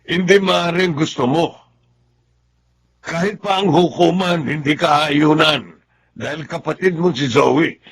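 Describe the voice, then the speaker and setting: A sensible husband at the end of his patience in a radio melodrama. The man's voice is fairly high and raspy. He chides and insists as he reasons. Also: unhurried, long pauses. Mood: exasperated, reasoning. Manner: chiding, insistent. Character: reasonable, exasperated husband scolding his wife. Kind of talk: Tagalog radio melodrama acting.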